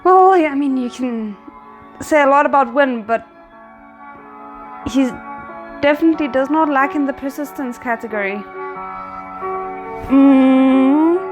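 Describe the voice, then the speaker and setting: Woman, higher voice, informal reaction host, expressive, thinking aloud, some music behind. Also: a little slow, long pauses, highs cut off. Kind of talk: casual reaction commentary on a TV series.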